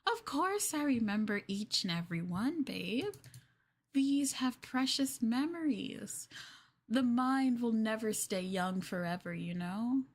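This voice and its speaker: A woman playing a clingy, lovestruck yandere girlfriend in an ASMR roleplay, soft, coy and doting, with a hesitant, flustered way of talking. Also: on the high side, animated, slow pace. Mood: doting, sweet.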